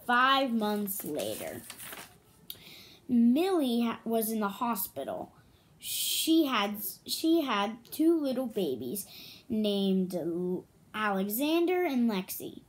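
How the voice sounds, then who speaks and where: A woman reading a children's story aloud, pausing to add her own comments and questions for listeners. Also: a little high, big pitch swings.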